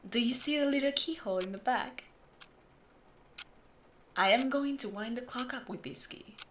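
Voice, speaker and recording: A woman playing a meticulous, secretive steampunk clock repairer in an audio roleplay, explaining things patiently and in a measured way to the listener. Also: fairly high pitch, background noise, lightly acted. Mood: patient, focused. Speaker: amateur voice actor.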